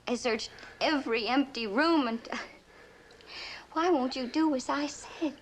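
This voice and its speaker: A woman's voice: high voice, measured pace. An actress playing a worried, earnest woman who pleads urgently in a mid-century television suspense drama. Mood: worried, pleading. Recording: noisy old television drama soundtrack.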